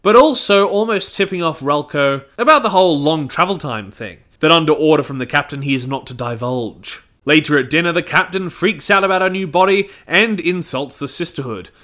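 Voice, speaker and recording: A man's voice: fairly high pitch, pushed and bright. A man reading a scripted review of an audio drama in a casual, wry manner.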